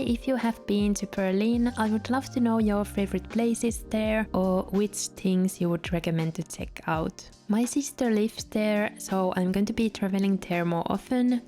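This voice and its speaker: A woman's voice: medium pitch, moderate pace, few pauses, dark tone, soft background music. A Finnish-speaking woman narrating her travel journal setup in a chatty voice-over, with light laughs. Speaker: journaling vlogger.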